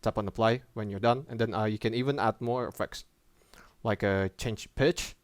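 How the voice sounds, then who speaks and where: A man presenting a casual app tutorial as a voice-over, with halting, informal and hesitant phrasing. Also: fairly low pitch, heavy background noise.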